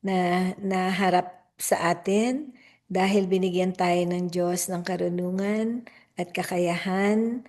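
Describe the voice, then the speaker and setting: Hoarse Filipino woman preaching slowly. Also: lowish voice, darkish tone. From Philippines. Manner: slow, deliberate preaching, switching between English and Tagalog. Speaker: reverend and spiritual leader. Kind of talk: sermon.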